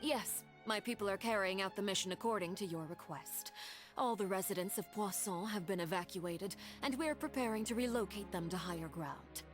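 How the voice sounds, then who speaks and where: An actress playing a shrewd, courteous woman of high rank in a video game, speaking formally and with cool command. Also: soft background music, lightly acted. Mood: cool, assured. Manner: formal, measured wording.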